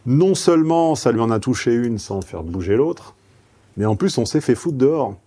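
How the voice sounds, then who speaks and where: A man's voice: medium pitch, animated, normal speed, mellow, slightly rough. A man who grew up in southeastern France giving a paid seminar, frank and salty, telling personal anecdotes. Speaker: seminar speaker. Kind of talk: seminar talk.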